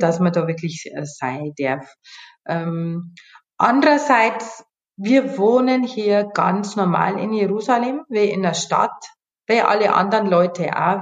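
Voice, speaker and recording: A woman, talking as a guest in a casual interview in a chatty, informal way full of side remarks. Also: medium pitch. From Bavaria, Germany. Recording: echoey room.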